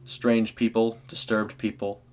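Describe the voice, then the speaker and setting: A man with a low voice narrates a scripted, eerie short story. His delivery is measured and dramatic, and a faint hum of noise runs behind the recording. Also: monotone.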